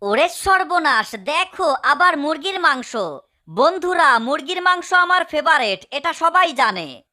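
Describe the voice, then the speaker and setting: A woman voicing a small, scheming, excitable mouse in a cartoon dub. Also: high voice, a little slow, exaggerated voice. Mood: amazed. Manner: eager, urging, exclaiming.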